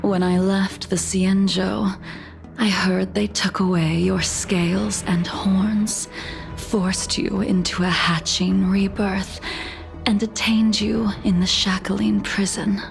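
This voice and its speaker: A woman voicing a serene, ancient female swordmaster in a video game, breathy and unhurried, coolly knowing, melancholy and softly menacing. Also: mid-range voice, flat delivery, faint music behind. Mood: calm, knowing.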